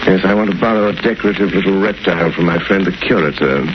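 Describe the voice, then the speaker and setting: An actor playing a calm, resolute gentleman crime fighter in vintage radio drama, with measured, self-assured delivery. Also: deep voice, close to an everyday voice. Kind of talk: 1930s-40s radio drama acting. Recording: noisy, muffled vintage radio recording.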